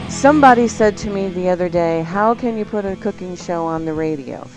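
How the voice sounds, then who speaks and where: A woman's voice: on the low side, brisk, darkish tone, faint music behind, rough recording. A woman co-hosting a live radio call-in show, chatty with listeners. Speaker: radio co-host.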